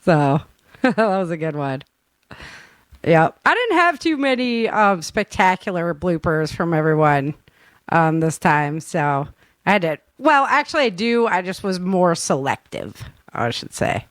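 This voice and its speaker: A woman hosting a podcast in casual chat, telling stories in an animated, giggly way. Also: on the low side, a little slow, dry recording. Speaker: podcast host and audio drama creator.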